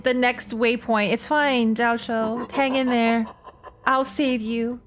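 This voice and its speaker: Emotive, higher-voiced woman streamer. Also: steady tone, slow. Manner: emotive, exclaiming, chatty asides. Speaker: game reaction streamer. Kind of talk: casual live reaction to a game. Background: game music and dialogue.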